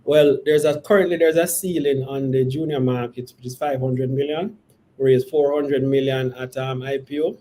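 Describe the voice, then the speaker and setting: A man with a mellow, relaxed voice answers investor questions as a company executive. He phrases things carefully and hesitantly, and there is steady noise in the background. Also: mid-range voice, moderate pace.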